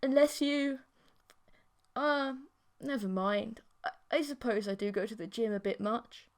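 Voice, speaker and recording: Audiobook role: earnest, put-upon young boyfriend, played by a woman, highish pitch, protesting yet pliable. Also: a little slow, close to an everyday voice. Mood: hesitant. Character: exasperated boyfriend, earnest, easily swayed young man. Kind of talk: audiobook character voice.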